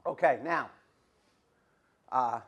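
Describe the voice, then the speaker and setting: A man's voice: mid-range voice, drawn-out words, a bit bright. A middle-aged man giving a football coaching clinic, folksy and repetitive, demonstrating drills as he talks while losing his voice.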